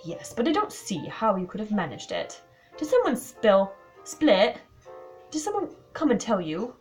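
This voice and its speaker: A woman's voice: mid-range voice, wildly swooping pitch, a little slow, faint music behind. Pushy boyish youth, read by a woman; insistent, raised voice. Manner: insistent questioning. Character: eager boyish young man, frowning and pushy.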